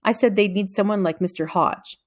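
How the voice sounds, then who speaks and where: A woman's voice: medium pitch, brisk, natural voice. A woman voicing a hesitant, somewhat startled male schoolteacher in a children's storybook.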